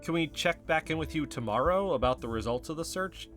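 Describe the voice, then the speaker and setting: A man playing an earnest, excitable, principled podcast investigator in naturalistic audio drama. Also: a little high, fairly quick, faint music behind. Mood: eager, earnest. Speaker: podcast host.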